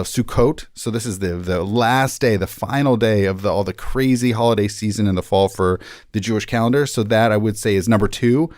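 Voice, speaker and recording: A man's voice: fairly low pitch, a little mellow, dry recording, clean studio sound. A man speaking as an interview guest, explaining things casually and informatively with light humor. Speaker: podcast guest, rabbi.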